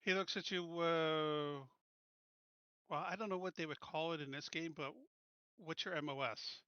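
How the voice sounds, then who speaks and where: A man's voice: fairly high pitch, steady tone, unhurried, sharp tone, clear, resonant tone, dry recording, muffled recording. A man playing a tabletop roleplaying game, chatting casually and speaking as his character.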